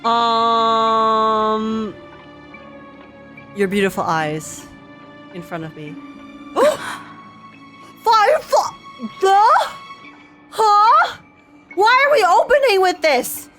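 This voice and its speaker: Animated, high-voiced woman streamer reacting. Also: drawn-out words, pauses often. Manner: excitable, playful, exclamatory. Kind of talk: casual live reaction commentary. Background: faint game music.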